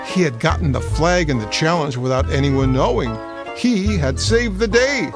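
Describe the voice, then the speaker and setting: A man's voice: on the high side, animated, moderate pace. A man narrating a children's read-along storybook in a scripted storytelling style. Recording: music playing behind. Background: music.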